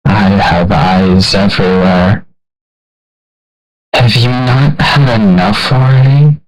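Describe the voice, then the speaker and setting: A man voicing a cold, all-seeing video game villain who speaks in a measured, formal, condescending and menacing manner. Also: on the low side, steady tone, soft background music, poor audio. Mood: cold menace. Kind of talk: video game character voicelines.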